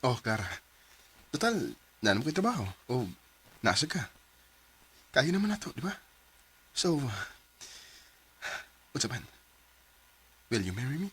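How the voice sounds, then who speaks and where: A man's voice: mid-range voice, very animated, measured pace, pauses often, husky. A man with a Cebuano Filipino accent playing an easygoing young married office worker in a radio drama. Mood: tender, hopeful. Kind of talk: radio drama acting and narration.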